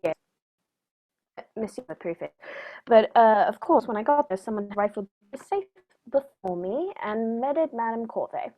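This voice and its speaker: A woman playing a composed, shrewd gentleman detective in a radio play, precise and dryly polite. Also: fairly high pitch, very animated, moderate pace, rough recording. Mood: measured recounting.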